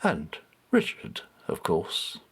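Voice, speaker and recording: A man reading his own story aloud as expressive, scripted storybook narration. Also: takes it slowly.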